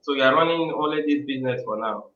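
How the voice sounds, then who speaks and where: A man's voice: medium pitch, quick pace, echoey room, highs cut off, a little hiss or hum. A male host opening and running a live online interview in a welcoming tone, with frequent "uh"s. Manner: welcoming, uses frequent fillers.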